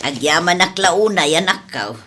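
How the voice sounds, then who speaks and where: A woman's voice: lowish voice, lively intonation, moderate pace, fairly bright tone, slightly rough. An older woman playing a proud, grumbling elderly widow who runs an eatery in a radio comedy drama. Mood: coy, flustered. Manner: indignant, complaining.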